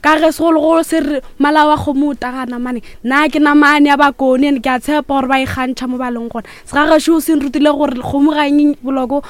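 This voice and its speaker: Woman poet reciting praise poetry, high and fast, dry sound. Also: steady tone, dry recording. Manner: rhythmic, declamatory. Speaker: poet, radio guest.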